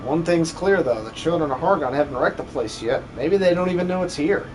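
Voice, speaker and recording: Man voicing a bold adventurer, raised pitch. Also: lively intonation, brisk, darkish tone, a little hiss or hum, natural voice, rough recording. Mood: curious, confident. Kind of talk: fan dub of a fantasy video game.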